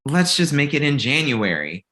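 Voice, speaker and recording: A man's voice: a little high, big pitch swings, moderate pace, sharp tone. A man playing an earnest, exasperated male manager in a comedy table read, urgent and conversational.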